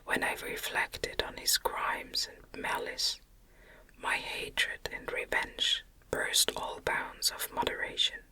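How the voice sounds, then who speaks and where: Female ASMR narrator for gothic literature: fully whispered, very unhurried, gentle measured phrasing. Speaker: audiobook narrator.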